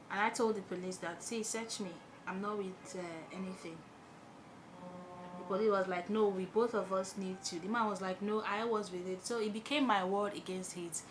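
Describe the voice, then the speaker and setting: Young Nigerian woman, animated storytime vlogger, mid-pitched voice. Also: noisy background. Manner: animated, exclaiming, chatty storytelling. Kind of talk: storytime while doing makeup.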